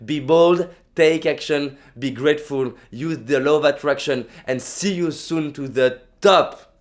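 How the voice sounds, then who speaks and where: A man's voice: a little high, unhurried. A young man with a French accent, a native French speaker, giving an emphatic motivational vlog talk full of repetition and restarts. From France.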